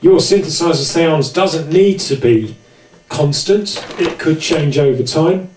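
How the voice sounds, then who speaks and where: A man's voice: a little high, unhurried, a little mellow. Echoey, distant man presenting a tutorial. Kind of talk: informal hands-on tutorial narration. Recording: roomy echo, distant microphone.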